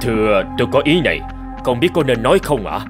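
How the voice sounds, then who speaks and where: A man's voice: mid-range voice, very animated, fast talker, over music, close to an everyday voice. Man acting a deferential, anxious aide. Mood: hesitant, respectful. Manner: respectful, hesitant. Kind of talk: cartoon drama acting.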